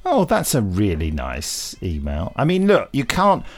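Low, gravelly, animated man. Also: a little slow. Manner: playful, teasing. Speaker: podcast co-host. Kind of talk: casual chat.

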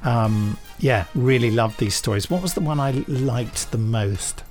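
A man with a medium-pitched voice co-hosts a podcast. He presents news casually and enthusiastically. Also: unhurried, darkish tone, faint music behind. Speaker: podcast host.

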